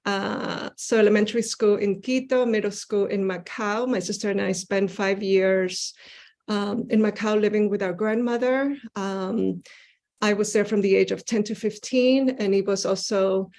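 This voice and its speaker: A woman from Ecuador giving an earnest seminar talk about her art over a video call, pausing often with "uh" and "um". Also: medium pitch, steady tone, darkish tone. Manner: earnest, with frequent "uh" and "um" fillers. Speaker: guest artist presenting her work. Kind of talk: online seminar presentation with slides.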